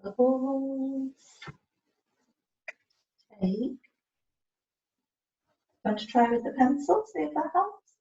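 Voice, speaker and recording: A woman with a fairly high voice coaches a learner one to one, taking her time. She repeats words and spells out letters, prompting as she goes. The sound is echoey and distant, as on a video call. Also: lively intonation, very slow, long pauses, mellow. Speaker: communication support partner helping a learner spell out words. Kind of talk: one-to-one lesson, coaxing and prompting. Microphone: video call, away from the microphone. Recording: echoey room, muffled distant mic, faint noise.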